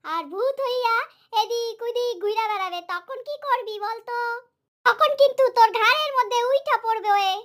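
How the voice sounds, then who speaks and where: Small mischievous child, extremely high pitch, sharp bright tone, bossy, boastful, cartoon comedy. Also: moderate pace. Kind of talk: exaggerated cartoon dialogue.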